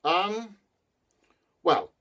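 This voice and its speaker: A man's voice: sits high, lively intonation, sharp tone, pitched above the performer's own voice, heavily acted. Rattled elderly holy man giving orders, raised voice, male storyteller. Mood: flustered. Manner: hurried, rattled instructions. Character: fussy, flustered old male holy man.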